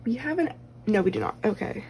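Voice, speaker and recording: A woman's voice: medium pitch, lively intonation, fairly quick, a little mellow, a little hiss or hum. Chatty woman losing her voice a bit. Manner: chatty, rambling with many fillers; counts bills aloud. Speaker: budgeting vlogger. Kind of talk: casual chat while cash stuffing.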